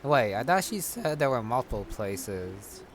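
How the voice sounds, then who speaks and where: A man giving casual commentary on his gameplay, musing aloud in a low-key way. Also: very animated, measured pace. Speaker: gamer commenting on his playthrough. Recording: loud game music and effects behind. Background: game music, combat crashes.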